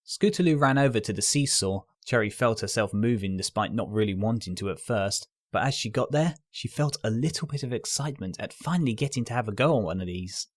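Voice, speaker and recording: A man reading scripted story narration as the narrator. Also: lowish voice. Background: music.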